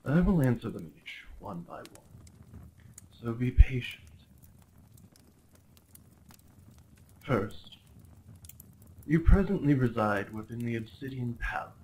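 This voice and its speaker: A man's voice: mid-range voice, a little mellow, a little hiss or hum, rough recording. A man playing a smug, possessive crown prince in a roleplay audio drama, speaking with formal, condescending politeness and theatrical flair. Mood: condescending, courtly. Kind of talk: roleplay ASMR acting.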